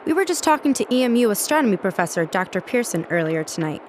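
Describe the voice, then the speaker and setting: A woman's voice: medium pitch, sing-song, fast talker, a little hiss or hum, close to an everyday voice. A woman playing an upbeat local radio host in a radio drama styled as a live broadcast. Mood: intrigued, chatty.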